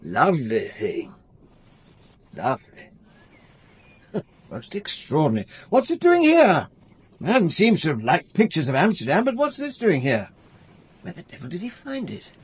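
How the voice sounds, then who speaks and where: Husky, effusive art connoisseur. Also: male voice, on the high side, huge pitch swings, measured pace, long pauses, heavily acted. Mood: rapt admiration. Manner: theatrical, savouring, exclamatory. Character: effusive, sly male art dealer, rapturous connoisseur. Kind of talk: radio drama acting.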